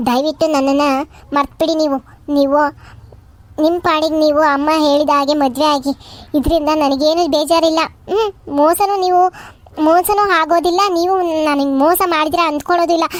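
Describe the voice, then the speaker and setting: A woman's voice: high-pitched, dry recording, natural voice. A woman voicing a tearful young woman in love who pleads with emotion in a cartoon. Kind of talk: cartoon voice acting.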